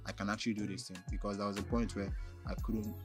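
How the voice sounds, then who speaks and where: A man with a Nigerian, Igbo-influenced accent, a native Igbo speaker, answering casually as an interview guest, often pausing and circling back as he thinks aloud. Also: fairly low pitch, little pitch movement, over music. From Onitsha, southeastern Nigeria. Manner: halting, frequently repeats "like" and "you know" while thinking aloud. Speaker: music artist interviewed as guest.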